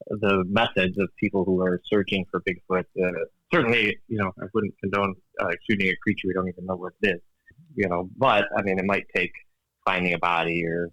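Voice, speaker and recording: A man with a low voice speaks as a guest in a relaxed interview, recorded dry and close. He picks his words carefully, restarts often and laughs easily. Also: no echo, poor audio. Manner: thoughtful, hesitant, many restarts and fillers, laughs often; calls himself an engineer, evidence-minded. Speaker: guest author. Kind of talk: casual interview chat.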